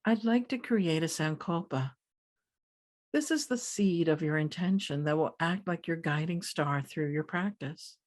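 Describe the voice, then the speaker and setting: A woman leading a guided meditation as a teacher, speaking calmly in deliberate phrases with pauses. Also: deep voice.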